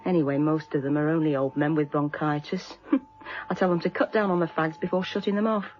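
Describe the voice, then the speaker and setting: A woman's voice: deep voice, steady tone, brisk, a little hiss or hum, close to an everyday voice. Actress as a cool, wry woman, muffled sound. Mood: offhand, amused. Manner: wry, teasing, offhand. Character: cool, worldly, wryly unflappable woman witness. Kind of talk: naturalistic radio drama dialogue.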